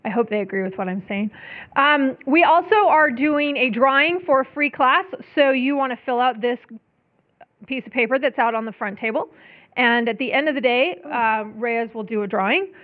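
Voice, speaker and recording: A woman instructor pitching a test prep class to students in a lecture room, upbeat and joking. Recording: phone-like thin room recording.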